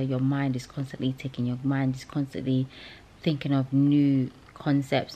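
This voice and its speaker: A woman in her thirties, a travel vlogger, chatting casually and relaxed to the camera while lying in bed, laughing now and then. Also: a bass voice, creaky, a little hiss or hum. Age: 30s. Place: hotel bedroom, lying in bed. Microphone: phone camera held close.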